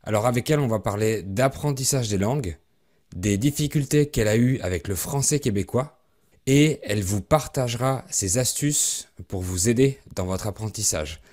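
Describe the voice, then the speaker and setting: A man from France hosting a podcast for French learners, speaking in a clear, measured way as he introduces and interviews a guest. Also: lowish voice, a little mellow. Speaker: podcast host.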